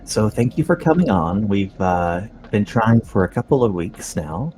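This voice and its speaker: A young man hosting a podcast, relaxed and friendly as he interviews a guest. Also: on the low side, moderate pace, slight background noise. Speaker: podcast host. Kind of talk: casual interview.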